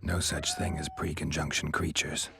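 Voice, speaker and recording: A world-weary monster hunter in a fantasy game, extremely deep and gravelly. He talks in short, dry, guarded lines over faint music. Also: moderate pace, full character voice. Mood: deadpan, questioning. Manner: deadpan, terse, guarded. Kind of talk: video game cutscene voice acting. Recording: music behind the voice.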